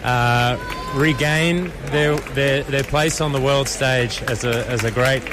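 A man from Australia with an Australian accent, an English speaker, speaking halting Spanish at a parade. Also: medium pitch. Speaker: parade spectator. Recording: noisy street interview.

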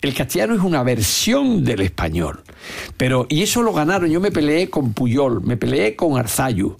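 An older man with an Andalusian accent, giving opinionated, anecdotal answers in an interview, often halting and repeating words. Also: medium pitch, very animated, vocal fry. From Andalusia, Spain. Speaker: interview guest, veteran Andalusian politician. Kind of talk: unscripted reflective interview talk.

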